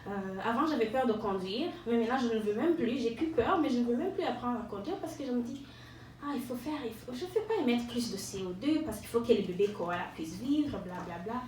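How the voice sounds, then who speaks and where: In a very echoey room, a woman delivers stand-up in a fairly high voice. She is ironic and self-mocking, with hesitant pauses and repeated words. The microphone sits a little way off. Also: expressive, darkish tone. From Rwanda. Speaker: stand-up comedian. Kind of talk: stand-up monologue to a live audience. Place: live venue with an audience. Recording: echoey room, distant mic, audience noise.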